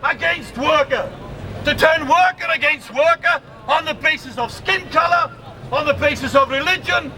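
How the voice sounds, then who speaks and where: High-pitched older Welsh man addressing a rally. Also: moderate pace, bright, forceful tone. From Swansea, Wales. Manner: impassioned, rhetorical, emphatic. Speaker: protest rally speaker. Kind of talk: political rally speech. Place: outdoors in a city square. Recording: distant outdoor mic, noisy street crowd.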